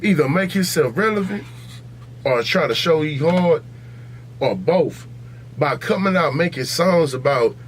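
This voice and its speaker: Podcast co-host: American male, a bit high-pitched, profane and insistent, some background noise. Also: animated, a little slow. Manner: blunt, emphatic, repetitive. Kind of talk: casual, profane podcast banter.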